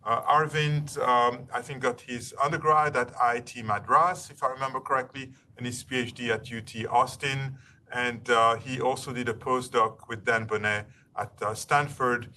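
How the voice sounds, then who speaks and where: A man hosting a university seminar, introducing a guest speaker formally and courteously in a halting, wordy way. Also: mid-range voice, steady tone, bright, forceful tone. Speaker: seminar host. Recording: distant mic, faint room noise.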